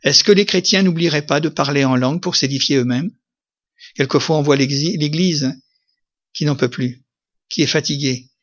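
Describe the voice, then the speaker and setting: A male pastor delivering a sermon in a somewhat high voice. He preaches emphatically, pushing up to loud, ringing peaks and then sinking back to quieter stretches. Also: normal speed, lo-fi sound.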